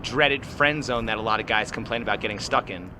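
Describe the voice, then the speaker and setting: A man's voice: fairly low pitch, a little fast. A man interviewing people on a city street, probing with pointed follow-up questions. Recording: street noise behind the voice.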